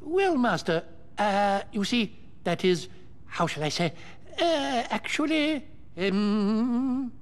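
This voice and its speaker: A man's voice: high voice, very animated, takes it slowly, long reverb tail, heavily acted. A man playing an anxious little enchanted clock butler in a video game, formal and stammering with fluster. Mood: panicked, nervous.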